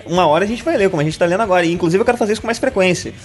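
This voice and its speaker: A young man from Brazil co-hosts a casual podcast and reads listener e-mails aloud. His voice sits a little high and moves quickly, full of jokes. Faint music runs under the talk. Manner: quick, joking, laughs often.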